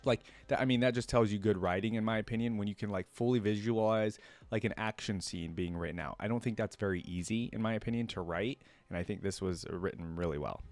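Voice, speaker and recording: A man hosting a reaction video, reading a story aloud and adding casual, conversational fan commentary. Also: fairly low pitch.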